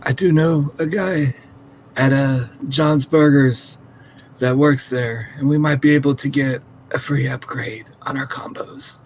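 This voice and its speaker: A man plays a tabletop role-playing game at an unhurried, very slow pace. His voice is average in pitch and casual as he improvises, with faint background noise. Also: fairly even intonation.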